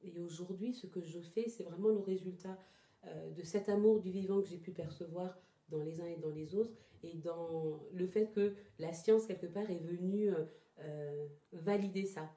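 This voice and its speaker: Very mellow, lowish woman's voice in echoey room. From born in Togo, lived in Ghana, moved to France as a child. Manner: hesitant, halting, repeats words as she searches for phrasing. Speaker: interview guest, traditional therapy practitioner. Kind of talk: reflective personal interview answers. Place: indoors in a house. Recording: roomy, echoing indoor sound.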